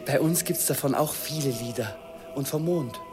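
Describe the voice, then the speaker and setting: An actor playing a shy, earnest young student in love, gentle and hesitant, in a 1950s radio play. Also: male voice, mid-range voice, normal speed, over music, natural voice. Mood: wondering, gentle. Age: young adult.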